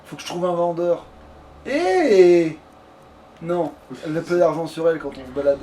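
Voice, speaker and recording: A man's voice: a little high, lively intonation, moderate pace, warm tone. A man giving casual, informal, sweary commentary while playing a video game. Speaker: let's player playing a fantasy game. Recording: distant mic, faint room noise.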